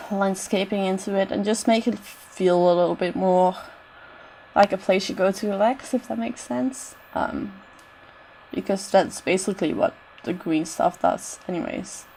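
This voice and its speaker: A woman's voice: mid-range voice, vocal fry, darkish tone, a little hiss or hum, rough recording. A woman with a bad cold, her voice straining, giving casual rambling commentary over a building game with lots of "I don't know" asides. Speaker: game builder narrating a renovation.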